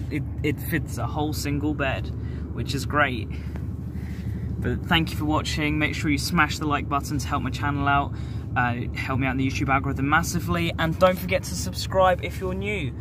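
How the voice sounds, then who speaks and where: Young English man, slightly high-pitched voice. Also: noisy background. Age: 20s. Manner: chatty, informal. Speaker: vlogger. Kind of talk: casual vlog chat to camera. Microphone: phone at arm's length.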